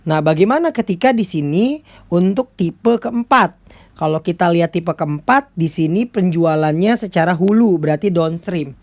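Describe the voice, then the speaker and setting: Low-pitched Indonesian woman lecturing. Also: expressive, moderate pace, darkish tone. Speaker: accounting lecturer. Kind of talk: explanatory lecture, step-by-step walkthrough.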